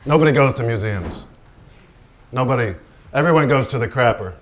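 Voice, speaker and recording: An actor playing a boozy, swaggering, profane genius painter in a theatrical staged reading, declaiming with crude, sardonic bite. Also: male voice, mid-range voice, expressive, moderate pace, a little hiss or hum, lightly acted. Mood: crude, ranting.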